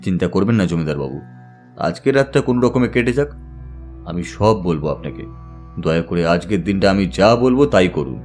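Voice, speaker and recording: A man voicing a very deep, grave tantric priest. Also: expressive, moderate pace, a little mellow, soft background music. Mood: reassuring. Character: grave tantric priest, protective, instructing a landlord. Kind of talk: audiobook drama acting.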